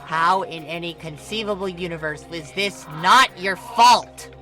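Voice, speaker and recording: A man's voice: high voice, a little slow, sharp tone, soft background music, pitched above the performer's own voice, exaggerated voice. A man voicing an irritable, sarcastic young male ghost in a fan fiction reading, snapping with exasperation. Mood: exasperated.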